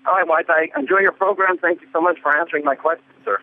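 A man calling in to a radio show with an earnest, slightly halting question. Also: a little high, steady tone. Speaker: caller. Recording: tinny phone line.

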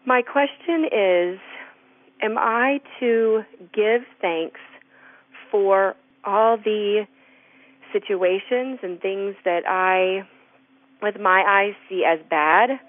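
Woman caller, heartfelt and grateful, unhurried speech, tinny phone-line sound. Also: medium pitch, dry recording. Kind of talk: phone-in question. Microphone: telephone.

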